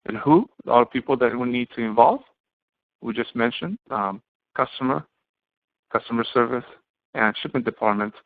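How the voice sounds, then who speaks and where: A man giving an explanatory lecture to students as an instructor, speaking haltingly and often posing questions that he then answers himself. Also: mid-range voice, no room sound. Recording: muffled, band-limited, phone-like audio.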